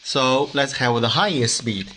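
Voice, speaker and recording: A man giving an informal demonstration of a hand-made device in halting, non-native English. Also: mid-range voice, sharp tone, slight background noise, rough recording.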